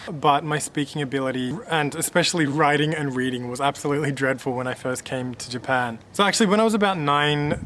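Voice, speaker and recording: A man in his late twenties from Australia, talking casually to camera in a relaxed, rambling way with many fillers and restarts. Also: on the high side, a little hiss or hum. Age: late 20s. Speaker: vlogger.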